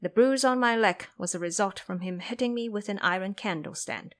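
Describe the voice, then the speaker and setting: A woman's voice: mid-range voice, brisk, close to an everyday voice. A woman voicing an earnest, pleading young male dragon in horse form for an audio drama. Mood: reproachful. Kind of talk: audio drama character acting.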